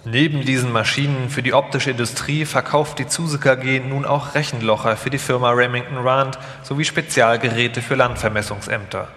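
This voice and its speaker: A man narrates a scripted documentary live in a bright, forceful voice of medium pitch. A long hall reverb follows his words. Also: steady tone, moderate pace, few pauses.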